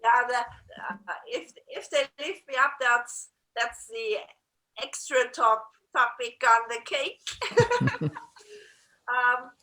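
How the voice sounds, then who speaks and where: An older woman co-hosting a chat, speaking slowly and searching for words. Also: a little high, lively intonation, pushed and bright, low-quality recording. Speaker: co-host. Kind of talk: casual chat.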